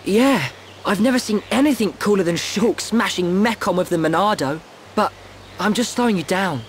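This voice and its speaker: A man's voice: high-pitched, lively intonation, normal speed, a little hiss or hum. A male actor playing a plucky, modest young boy in a video game cutscene. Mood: eager, admiring.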